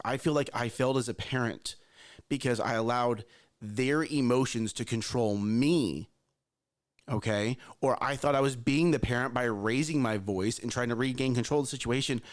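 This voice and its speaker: Man, thirties, earnest, repeats phrases, talks straight to listeners, casual storytelling. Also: mid-range voice. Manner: earnest, repeats himself, addresses listeners directly. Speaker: morning show co-host.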